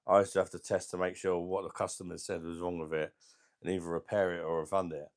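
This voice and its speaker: A middle-aged man with a deep voice and a sore throat, chatting casually as he hosts a beer channel livestream and reads out viewer comments in a rambling, laid-back way. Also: flat delivery, fast. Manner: rambling, laid-back, chuckling between remarks. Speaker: beer review channel host.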